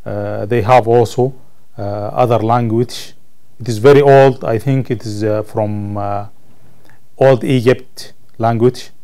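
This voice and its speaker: A Sudanese man whose first language is Arabic speaks English with a Sudanese Arabic accent. His voice is low and very mellow, with a gravelly creak. He answers interview questions haltingly, pausing to search for words. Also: steady tone. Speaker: college ESL student being interviewed. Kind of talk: interview answers about own life. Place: indoor room, seated on camera.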